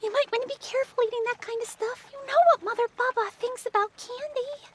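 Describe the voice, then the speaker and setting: Timid little anime-drama girl. Extremely high, squeaky, gently cautioning, faint street noise behind. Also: fast. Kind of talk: anime audio drama acting.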